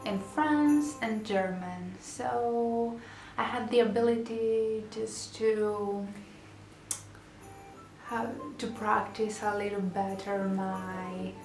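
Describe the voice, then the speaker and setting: A woman's voice: medium pitch, steady tone, slow, darkish tone. A young woman with a Greek accent, chatting to camera in a casual vlog with many fillers and restarts. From Greece. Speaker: vlogger. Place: small bedroom indoors. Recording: roomy echo, music behind. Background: background music.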